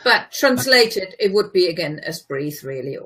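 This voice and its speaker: A woman's voice: lowish voice, very animated, a little slow, fairly bright tone, breathy edge. A woman whose first language is German, from Germany, tutoring in a casual chat, patient and explanatory, laughing easily.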